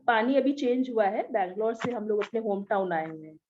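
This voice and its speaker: A woman teaching an online class with a slightly hoarse throat, explaining step by step and often checking that her students follow. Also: mid-range voice, expressive, a little fast, a little mellow, highs cut off, slight background noise. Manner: explanatory, often asks "ठीक है?" to check understanding. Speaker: Reiki teacher.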